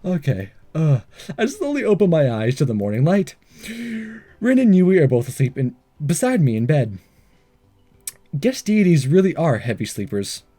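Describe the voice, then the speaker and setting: A man's voice: a little high, mellow. A man hosting a game playthrough, reading visual novel text aloud with jokey asides and the odd stumble.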